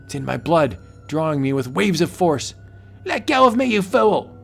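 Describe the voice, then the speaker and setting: A man's voice: on the high side, sing-song, normal speed, vocal fry, fairly bright tone, breathy edge, soft background music, pitched above the performer's own voice, heavily acted. Man acting an arrogant young colonial officer. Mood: agitated. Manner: haughty, commanding. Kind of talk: comic book audio drama acting.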